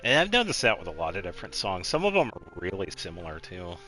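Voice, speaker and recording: Guy streaming a game, really deep but crisp forward voice, rambles along casually. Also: wildly swooping pitch, fast talker, sharp tone, a little hiss or hum. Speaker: game livestreamer. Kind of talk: casual chat while playing a game.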